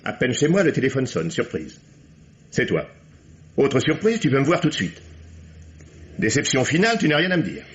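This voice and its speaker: An actor playing a cold, sarcastic lover, cutting and theatrical, in a French vintage radio drama. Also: male voice, medium pitch, wildly swooping pitch, normal speed, pauses often, a little hiss or hum. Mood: impatient sarcasm. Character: cold, mocking, cruel male lover eager to leave. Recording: dull vintage radio recording.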